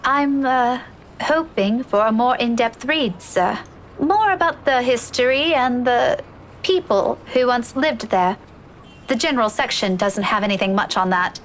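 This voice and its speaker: A woman's voice: fairly high pitch, a little slow, a little hiss or hum, voice pushed up, lightly acted. A woman voicing a respectful young woman, an eager former student who speaks hesitantly and earnestly in a visual novel. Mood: hesitant, polite.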